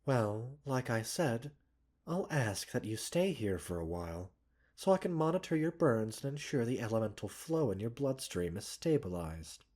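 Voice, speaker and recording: A man playing a gentle, caring doctor in a roleplay ASMR, speaking softly and breathily with measured, polite, gently chiding formality. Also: mid-range voice, very animated. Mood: concerned, chiding. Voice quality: soft, breathy. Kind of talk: roleplay ASMR, scripted character acting.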